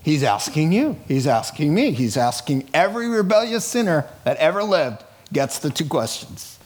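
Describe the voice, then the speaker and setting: A man's voice: fairly high pitch, sing-song, moderate pace, darkish tone. A middle-aged man preaching a sermon in a conversational, informal way, animatedly telling stories and acting out dialogue. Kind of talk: sermon, reading scripture and retelling it in colloquial, dramatized asides.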